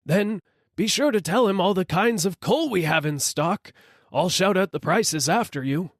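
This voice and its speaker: A man voicing an eager, hopeful coal dealer who calls out excitedly in an audiobook story. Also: sits high, normal speed, no room sound, clean studio sound, voice pushed up. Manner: excitable, calling out questions.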